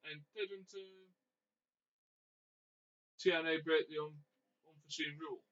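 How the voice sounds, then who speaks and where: Bright-voiced, questioning man. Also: a little high, fairly even intonation, a little slow. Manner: questioning, giggly. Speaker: podcast co-host. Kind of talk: casual wrestling chat. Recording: dull lo-fi audio.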